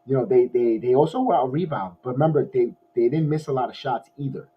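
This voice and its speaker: A hoarse man hosts a loose post-game sports show, rambling through the numbers with plenty of restarts. His husky voice comes through a dull, lo-fi recording. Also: mid-range voice, fast talker. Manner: rambling, many restarts, excited fan. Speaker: podcast host. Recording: dull lo-fi audio.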